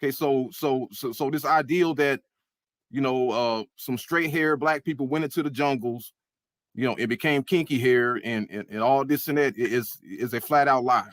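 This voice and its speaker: A man's voice: mid-range voice, normal speed, fairly bright tone, no room sound. Man, debating, stammers and repeats, dry close sound. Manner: stammering, repeats words when pressing a point. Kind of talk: casual debate.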